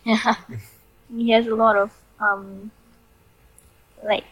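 A young woman co-hosting a podcast in casual chat. She rambles and restarts her sentences, with laughs between thoughts, on a rough home recording. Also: fairly high pitch, flat delivery, slow pace, dry recording, muffled recording. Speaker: podcast co-host.

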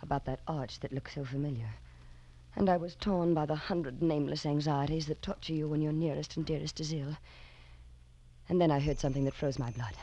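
A woman's voice: low voice, dark tone. An actress playing an anxious young woman traveller in a 1940s radio drama, dramatic and urgent. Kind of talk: 1940s radio drama, first-person narration and dialogue. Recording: old 1940s radio broadcast with music.

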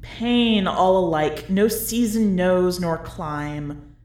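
A woman's voice: fairly low pitch, extremely slow, darkish tone, echoey room. A woman voicing an ominous, bitter prophet who gives terse, incantatory warnings in a storybook reading. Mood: grim, commanding.

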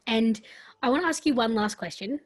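An Australian woman from the countryside hosting an interview, speaking softly and reflectively. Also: a little high, fairly quick. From rural Australia. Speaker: podcast host. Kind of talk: casual interview chat.

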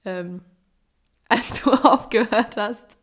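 A woman's voice: a little high, slow. A woman from Bavaria with a light Bavarian accent, co-hosting a casual podcast chat, laughing often and rambling with fillers. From Bavaria, Germany. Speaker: podcast co-host. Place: at home, neighbors partying nearby. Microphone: separate remote recording, two takes.

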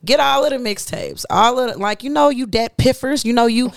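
A woman's voice: fairly high pitch, a little fast, vocal fry, no echo. A woman in her thirties hosting a podcast, chatting casually with slang, laughter and playful energy. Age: 30s. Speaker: podcast host. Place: podcast studio with camera setup.

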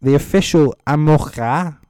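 A man's voice: fairly high pitch, a little slow, warm tone, smooth, no room sound. A man giving casual, off-the-cuff comedic commentary as a host, with sarcastic, mocking asides. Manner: sweary. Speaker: comedy host reading social media comments.

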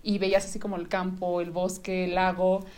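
A young woman with a Mexican accent, a podcast guest telling stories in an animated, casual way with lots of slang, in a studio. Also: lowish voice, normal speed, slight background noise. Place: studio in front of a camera and light.

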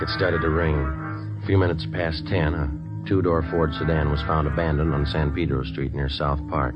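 Old-time radio cop, super deep, terse and flat, music behind, muffled sound. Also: male voice. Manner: clipped, deadpan, factual. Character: terse, matter-of-fact male police detective narrating his case. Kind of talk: 1950s radio police drama acting.

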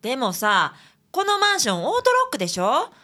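A woman's voice: high voice, huge pitch swings, brisk, sharp tone, heavily acted. An actress playing a cheeky, outspoken female detective's assistant in a radio drama, with a Kansai accent. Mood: teasing.

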